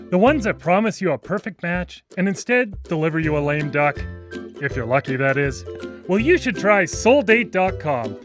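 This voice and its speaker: A man playing a brazen, gleefully dishonest dating-site pitchman in a parody radio commercial, with a theatrical sales delivery. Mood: salesy.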